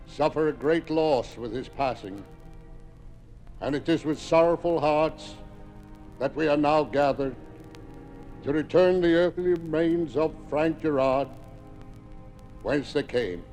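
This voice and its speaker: Man acting a slow, solemn funeral preacher. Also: a little high, a little mellow, faint music behind, natural voice, low-quality recording. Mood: solemn, mournful. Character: solemn, measured clergyman delivering funeral eulogy. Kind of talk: 1950s radio drama acting, formal eulogy.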